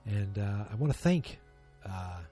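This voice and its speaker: A man's voice: lowish voice, expressive, measured pace, mellow, soft background music. A man hosting a solo podcast, chatting casually in a rambling, self-deprecating, joking way. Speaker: podcast host.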